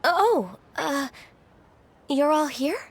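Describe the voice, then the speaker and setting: A woman playing a gentle, timid young secretary in a video game, polite, hesitant and easily flustered. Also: high-pitched, animated, very slow, noisy background, full character voice. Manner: hesitant, apologetic, courteous. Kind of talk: video game cutscene acting.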